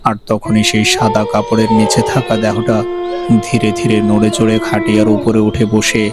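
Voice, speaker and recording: A male narrator tells a ghost story in a grave, measured way. His voice is low and almost monotone. Loud music plays behind him. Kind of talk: scripted horror story narration.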